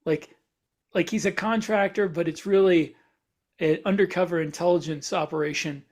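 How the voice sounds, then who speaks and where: Higher-pitched man in casual podcast chat. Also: steady tone, normal speed. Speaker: podcast co-host and writer.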